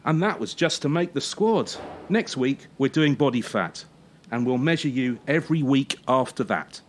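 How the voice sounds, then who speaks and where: An actor playing a harsh former champion turned gymnastics coach in a radio play, scolding with sarcasm and pointed pauses. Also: mid-range voice, lively intonation, a little hiss or hum, close to an everyday voice. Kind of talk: radio drama acting.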